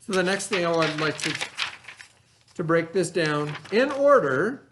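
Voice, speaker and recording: A man's voice: fairly high pitch, lively intonation, a little slow, background noise. A man teaching a read-aloud lesson for children, speaking instructively, repeating key words and prompting with questions.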